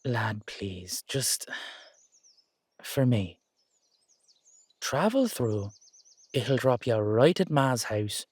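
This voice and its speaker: A man's voice: medium pitch, sing-song, very slow, pauses often, a little mellow, breathy edge, no echo, exaggerated voice. A man playing an exasperated but affectionate Irish dullahan rider, chatting coaxingly and teasingly to his horse in a roleplay audio drama. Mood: pleading, fond. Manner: conversational, coaxing, teasing, slangy.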